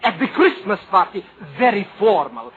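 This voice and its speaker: Excitable uncle, very high swooping voice, broken English, dull old radio sound. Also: male voice, moderate pace. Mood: eager, persuasive. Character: excitable, meddling, cheerful European uncle named Felix. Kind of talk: 1940s radio comedy acting. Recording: dull vintage radio broadcast.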